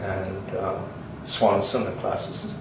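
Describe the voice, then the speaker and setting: An older man from Finland who grew up speaking Swedish, Finnish and German, recalling his life in an interview with hesitant, halting speech. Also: deep voice, little pitch movement. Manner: hesitant, many ums and restarts, chuckles. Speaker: interviewee, linguist. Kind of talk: oral history interview. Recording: roomy, distant, faint hiss.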